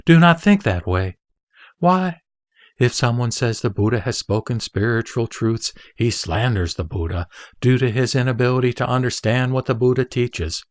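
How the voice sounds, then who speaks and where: A man playing the Buddha as a serene, authoritative teacher, with deliberate, emphatic phrasing in a scriptural audio drama. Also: mid-range voice, no echo, clean studio sound, close to an everyday voice. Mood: calm, instructive.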